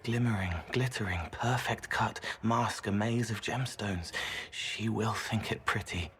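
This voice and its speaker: An actor playing a gentle, otherworldly young man in a video game, speaking in cryptic, poetic fragments. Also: on the low side, measured pace, a little hiss or hum. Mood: dreamy.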